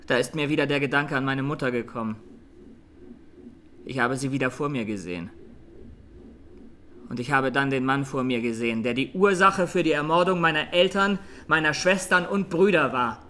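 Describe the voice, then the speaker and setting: A man playing a traumatised, earnest young Armenian student giving plain, deliberate testimony as a defendant in a radio drama. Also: mid-range voice, bright, forceful tone, slight background noise, lightly acted. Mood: weary, troubled.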